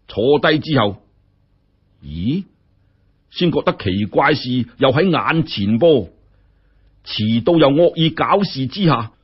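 A man's voice: medium pitch, slightly rough, muffled recording. A man with a Hong Kong Cantonese accent narrating a story in a colloquial, theatrical, exclamatory way. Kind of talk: animated scripted story narration.

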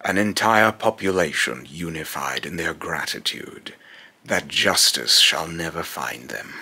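A young man voicing a menacing, pompous god of fear and loss in a solemn villain monologue, with a gravelly voice. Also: low voice, sharp tone, exaggerated voice. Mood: bitter, scornful. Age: young adult. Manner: slow, pompous, solemn, repeats phrases in retakes. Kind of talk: scripted villain monologue, voice-acting takes. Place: small indoor room at home.

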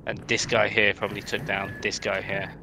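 A man's voice: fairly low pitch, flat delivery, moderate pace, creaky. A man playing a tactical game, reporting to teammates in casual radio-style voice chat. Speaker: player in a tactical police game. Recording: noisy online game voice chat. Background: game ambience and noise.

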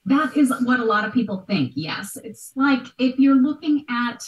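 A woman's voice: on the high side, lively intonation, normal speed. American woman explaining, in a roomy space. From United States. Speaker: podcast guest, art history expert. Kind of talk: conversational explaining in an interview. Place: small bright room at home. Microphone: video call. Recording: roomy video-call sound.

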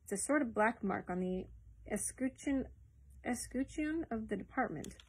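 Older male dogcatcher played by a woman, storybook official, stern, blustering, husky. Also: on the high side, animated, moderate pace, rough recording. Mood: pondering. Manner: pompous, emphatic, scolding.

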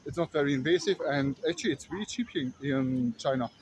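A man's voice: a little high, animated, fast, noisy background. A man in his thirties with a German accent, born in Kazakhstan, rambling casually with many restarts while walking and filming himself outdoors at night. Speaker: vlogger. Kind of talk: casual walking vlog talk. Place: city streets at night, outdoors. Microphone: phone at arm's length.

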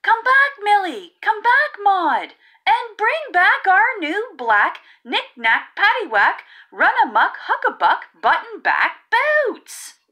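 Indignant storybook farm kids, played by a woman, high and bright, calling out urgently with drawn-out pleas. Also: wildly swooping pitch, heavily acted. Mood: pleading, indignant. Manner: shouted, drawn-out pleading. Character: gang of indignant farm kids yelling after runaways. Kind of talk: children's storybook character voice.

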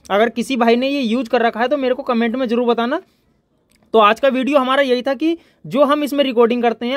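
Man, high-pitched, dry close tutorial voice. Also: no room sound. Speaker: electronics tutorial host. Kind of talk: informal hands-on tutorial.

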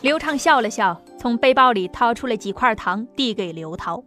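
A woman's voice: a little high, lively intonation, moderate pace, fairly bright tone. A woman narrating a novel as an audiobook, reading a dramatic script. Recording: music under the voice.

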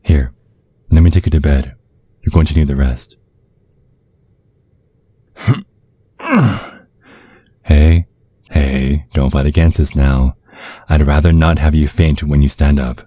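Man playing a very deep, husky vampire boyfriend. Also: steady tone, slow pace, long pauses, dark tone, exaggerated voice. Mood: tender, protective. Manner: soothing, coaxing, affectionate. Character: tender, protective male vampire boyfriend comforting a partner. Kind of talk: ASMR roleplay, intimate soft talk.

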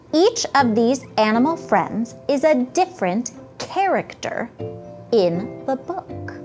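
A woman storyteller speaks to young children in deliberate picture-book style. Her voice is fairly high and very expressive. She takes her time over the phrases.

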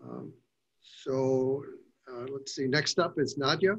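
An older man moderating a book panel over a video call from his home, measured and often pausing with "uh". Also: mid-range voice, animated. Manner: measured, with frequent "uh" hesitations. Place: home room. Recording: dull lo-fi video call audio.

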